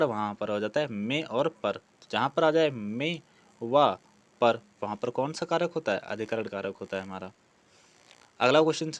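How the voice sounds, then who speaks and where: A man's voice: medium pitch, no room sound. A man teaching a grammar lesson, explaining practice questions step by step, asking questions and answering them himself. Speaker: Hindi grammar teacher for exam preparation.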